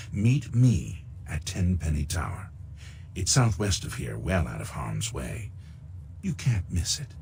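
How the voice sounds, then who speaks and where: An actor playing a suave, sinister middle-aged recruiter in a video game, speaking in a pompous, unctuous and deliberate way. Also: male voice, rumbling low, gravelly, darkish tone, a little hiss or hum, exaggerated voice. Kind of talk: theatrical video game dialogue.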